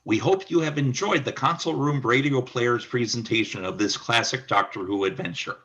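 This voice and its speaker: A man's voice: mid-range voice, moderate pace, fairly bright tone, highs cut off. A man reading scripted stage-direction narration for a radio play.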